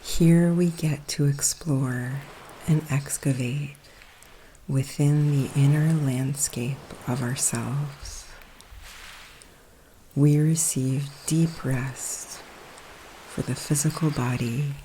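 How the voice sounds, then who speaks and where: A woman guiding a relaxation meditation in a soothing, hushed, unhurried manner, with long pauses between phrases. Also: rumbling low, rough recording. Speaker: guided meditation coach. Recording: steady ocean surf noise behind. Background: sea waves.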